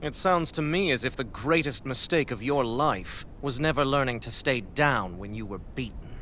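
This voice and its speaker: An actor playing a smug, cruel lord in a video game, speaking in a haughty, measured, mocking way. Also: male voice, mid-range voice, sharp tone, a little hiss or hum, close to an everyday voice. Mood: cold mockery. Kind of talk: dramatic video game villain acting.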